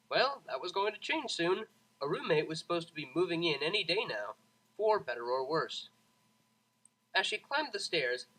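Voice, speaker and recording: A storyteller reads scripted fiction aloud. The voice sits at a middling pitch and is bright and crisp in tone. The delivery is expressive, with plenty of melodic movement. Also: low-quality recording. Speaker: fan fiction audiobook narrator.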